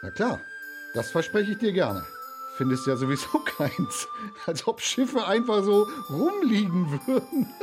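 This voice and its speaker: A man's voice: high-pitched, sing-song, a little slow, over music. A man acting an anxious, bookish foster father in a children's radio play, hesitant and fretful. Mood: amused, dismissive.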